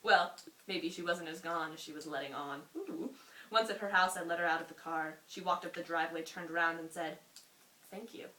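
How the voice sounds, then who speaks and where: A young woman reading a story aloud to a friend in an amused, expressive way, often breaking off for asides. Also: lowish voice, fairly quick. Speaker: reader. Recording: roomy, distant mic with faint noise.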